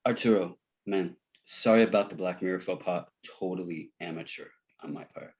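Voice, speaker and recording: A man's voice: sits low, moderate pace, a little mellow, natural voice. An actor playing an eager, friendly young man in a comedy table read, gushing in slang, on a dull lo-fi recording.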